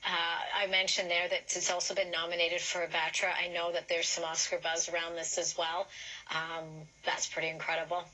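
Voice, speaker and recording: A woman's voice: on the low side, monotone, moderate pace, sharp tone, muffled recording. A woman anchoring and conducting a broadcast news interview.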